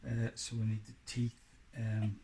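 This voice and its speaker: Slow-paced man muttering while he works. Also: fairly low pitch, completely flat delivery, dark tone. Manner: muttering to himself while working, halting. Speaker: DIY repair presenter. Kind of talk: casual hands-on workshop narration. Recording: clearly noisy, with clicks and tool handling.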